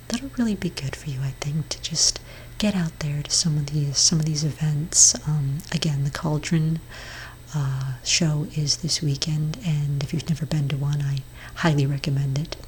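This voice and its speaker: A woman playing a gentle, reassuring witch therapist in an ASMR roleplay, speaking in a soft, breathy whisper with hesitant pauses. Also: deep voice, lightly acted, poor audio. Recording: clearly audible background noise.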